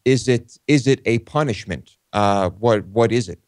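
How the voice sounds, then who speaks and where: A man co-hosting a talk show, giving opinions casually and haltingly as he pieces his thoughts together. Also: medium pitch, a little mellow, dry recording, clean studio sound. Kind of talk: casual unscripted discussion.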